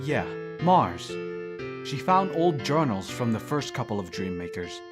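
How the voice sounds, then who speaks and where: A man's voice: mid-range voice, big pitch swings, measured pace. A man playing a smug, teasing immortal thousands of years old in an audio drama, with a sardonic, needling manner. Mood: wry. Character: teasing three-thousand-year-old dream maker, smug male immortal. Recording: voice under plucked guitar music.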